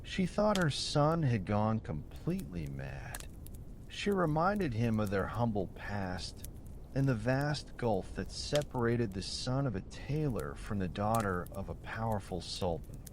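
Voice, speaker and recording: A man reads a bedtime story at a slow, deliberate pace. His medium-pitched voice swings expressively through soothing, carefully phrased narration, with a faint low rumble behind him. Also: big pitch swings.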